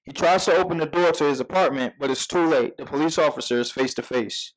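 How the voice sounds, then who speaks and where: Man, slightly high-pitched, screenplay narrator, scripted stage directions, table read delivery. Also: quick pace, poor audio.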